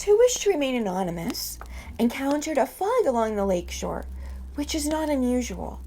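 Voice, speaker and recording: A woman reading stories aloud from a book as a storyteller, with measured, deliberate phrasing. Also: a little high, warm tone.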